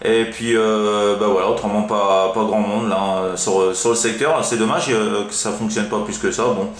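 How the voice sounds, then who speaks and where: Low-pitched hesitant man in a roomy space. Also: steady tone, normal speed. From France. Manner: rambling, hesitant, repeats himself. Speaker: amateur CB radio operator. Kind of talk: casual radio contact chat. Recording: roomy, echoing room sound.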